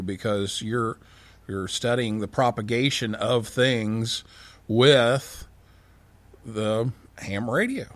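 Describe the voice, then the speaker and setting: A man hosting a call-in radio show in a casual, halting, informal way. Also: fairly low pitch, dry recording. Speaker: radio show host, science teacher.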